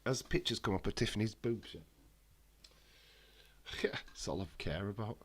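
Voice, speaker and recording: A man's voice: fairly low pitch, normal speed, a little hiss or hum. A man with a head cold, chatting casually and bluntly on a live stream, swearing freely and answering viewers' messages. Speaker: wrestling watch-along streamer.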